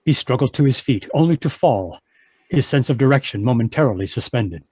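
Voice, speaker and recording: A man narrates an old-time radio adventure in a mid-pitched voice. The sound is close and dry, with a scripted storytelling delivery. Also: expressive, fairly quick, dark tone, husky, dry recording. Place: home, live online video performance.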